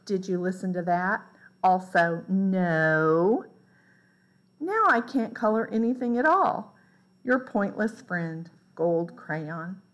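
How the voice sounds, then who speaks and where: Slow-paced woman reading a picture book. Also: fairly low pitch. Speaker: read-aloud storyteller. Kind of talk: picture book read aloud.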